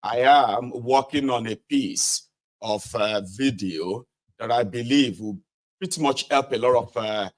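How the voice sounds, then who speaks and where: A man's voice: medium pitch, measured pace. Conversational man hosting a livestream. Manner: conversational, checks in with listeners using "eh?" and "right?". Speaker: podcast host reading a book aloud. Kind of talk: casual livestream talk to listeners.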